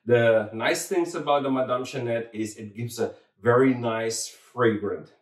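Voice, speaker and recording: A man's voice: mid-range voice, measured pace, reverberant, far from the mic. A man with a Dutch accent, Dutch his first language, hosting a home cooking demonstration in a kitchen, friendly and hesitant with many fillers and restarts. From Suriname. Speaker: cooking channel host.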